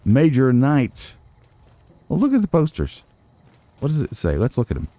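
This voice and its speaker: A man's voice: medium pitch, huge pitch swings, normal speed, mellow, no echo. A man giving casual, playful gameplay commentary, talking back to characters in a theatrical way. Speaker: let's play host.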